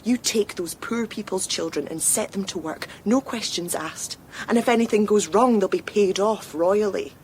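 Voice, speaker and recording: A woman's voice: moderate pace, darkish tone, close to an everyday voice, low-quality recording. An actress playing a defiant Victorian woman in a gothic radio drama, biting and sarcastic towards a villain. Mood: scornful. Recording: faint rumbling background noise.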